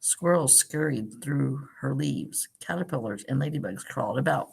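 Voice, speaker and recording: A woman with a low voice and a noticeable creak reads a storybook aloud for young children. She addresses them directly, stepping out of the text to explain things simply and ask little questions, in the way of a teacher. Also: animated, normal speed. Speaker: teacher reading a picture book to children.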